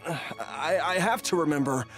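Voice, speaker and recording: Earnest, swinging-voiced desperate husband. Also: male voice, a little high, expressive, measured pace, music in the background. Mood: pleading, earnest. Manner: pleading, emotional, insistent. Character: earnest, desperate ailing husband in a fantasy world. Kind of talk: dramatic fantasy game acting.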